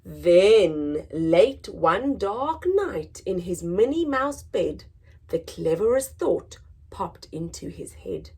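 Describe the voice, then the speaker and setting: A woman reading a children's picture book aloud in a dramatic, expressive storytelling manner, moving between hushed and emphatic delivery. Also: lowish voice, slow, slightly rough. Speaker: story time reader.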